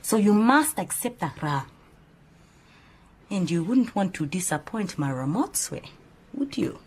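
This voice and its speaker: Animated, opinionated woman assistant. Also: mid-range voice, measured pace, breathy edge. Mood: firm, opinionated. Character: earnest, opinionated female detective's assistant, proud and chatty. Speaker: actor in radio drama. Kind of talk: radio drama acting.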